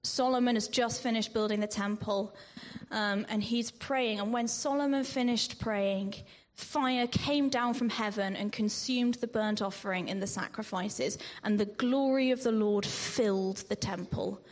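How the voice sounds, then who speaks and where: A woman preaching a sermon in a measured way, with ums and restarts, sometimes dropping to a whisper on scripture. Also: fairly high pitch. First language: not Greek. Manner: slow, measured delivery with frequent ums and restarts.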